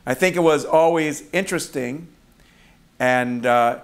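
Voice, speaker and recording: A man's voice: on the high side, unhurried. A middle-aged man, a rabbi, preaching a sermon in a conversational, storytelling way with fillers and self-corrections. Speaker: rabbi of a congregation.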